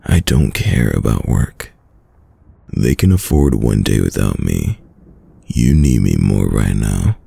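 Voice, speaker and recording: A man playing a caring, worried boyfriend in an intimate ASMR roleplay, with a deep, gravelly, husky voice and a soft, soothing manner. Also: sing-song, exaggerated voice. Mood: firm, tender. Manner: soft, slow, soothing, hesitant. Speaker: audio roleplay voice actor.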